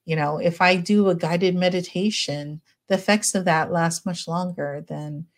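This is a middle-aged woman psychologist chatting as a podcast guest. Her voice sits a bit low, and her manner is gentle and relaxed. She wanders through thoughts with plenty of fillers and light laughter. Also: normal speed. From United States. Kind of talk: casual podcast interview.

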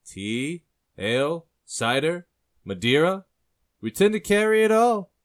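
A man's voice: a little high, big pitch swings, takes it slowly, pitched above the performer's own voice. A man voicing a shifty, sly barkeep in an audiobook story, eager and wheedling as he angles for coin. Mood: eager, welcoming.

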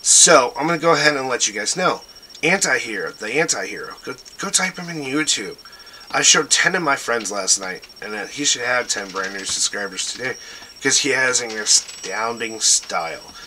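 A man hosts a loose livestream, rambling from one shout-out to the next as he urges listeners to go check things out. His voice is strikingly bright and sits at an ordinary pitch. There is a faint hiss of noise behind him. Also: expressive, normal speed, low-quality recording. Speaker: show host. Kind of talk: casual livestream shout-outs.

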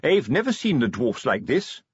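A pompous older police sergeant, voiced by a male narrator, fatherly and prudish, with big swoops of pitch. He talks in hesitant, colloquial, self-important phrases, as in a comic storybook. The sound is slightly muffled and husky-free, with very little room. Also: very animated, normal speed, no room sound. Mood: grave, knowing. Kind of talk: audiobook character dialogue.

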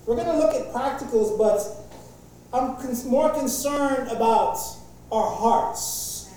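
Guy giving a sermon, mellow voice, lots of ums, sounds far off in a big echoey hall. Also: very high voice, slow, slight background noise. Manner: casual, jokey opening, many fillers and restarts. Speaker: preacher. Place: large echoey church hall.

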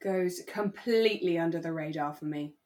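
A British woman co-hosting a podcast, telling stories in a chatty, explanatory way as she recaps a drama in relaxed conversation. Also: on the low side, moderate pace. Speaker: podcast co-host.

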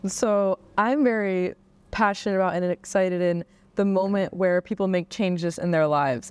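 A woman's voice: fairly low pitch, darkish tone, clean tone. A woman hosting a podcast interview in an upbeat, effusive way. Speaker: podcast host.

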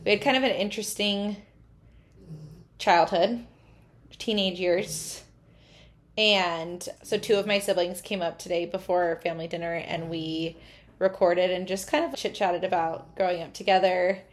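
A heavily pregnant woman in her twenties hosting a podcast, talking casually and brightly with frequent laughs. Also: fairly low pitch, steady tone, measured pace. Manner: chatty, upbeat, giggly. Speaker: podcast host. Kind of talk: casual chat.

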